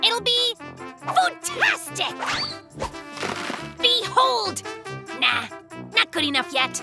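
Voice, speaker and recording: An actor voicing a boastful, stubborn, excitable young bear cub in a children's cartoon, with a whiny, theatrical delivery. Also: very high voice, extremely slow, gravelly, music in the background, heavily acted. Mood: excited, boastful. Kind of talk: animated children's cartoon acting.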